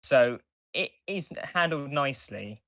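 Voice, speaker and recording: A man co-hosting a casual podcast discussion, explaining in a halting, rambling way with restarts. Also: mid-range voice, expressive, sharp tone, dry recording, studio quality. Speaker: podcast co-host.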